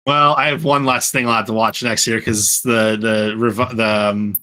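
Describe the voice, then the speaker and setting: A man hosting a casual group podcast, chatting in a halting, rambling way and often correcting himself. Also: mid-range voice, steady tone, pushed and bright. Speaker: podcast host.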